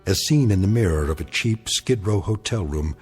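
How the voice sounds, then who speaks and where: A man narrating an eerie radio drama in a deliberate, portentous and ominous style. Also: deep voice, expressive, a little mellow, husky. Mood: grave, ominous. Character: grave, ominous male narrator of an eerie tale. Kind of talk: scripted dramatic narration in old-time radio anthology style. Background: music.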